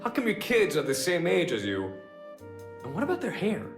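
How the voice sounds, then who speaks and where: A frank, doubting companion in a dubbed game. Her mid-pitched voice swings very widely and echoes, with clear music behind it. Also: wildly swooping pitch, moderate pace. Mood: sceptical. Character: sceptical, frank female companion. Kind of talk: English game dub voice acting. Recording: echoing voice over music.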